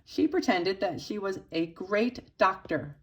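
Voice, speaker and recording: A woman reading a children's picture book aloud expressively, with dramatic emphasis and pauses for young listeners. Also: medium pitch, expressive. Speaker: storybook reader for children's church.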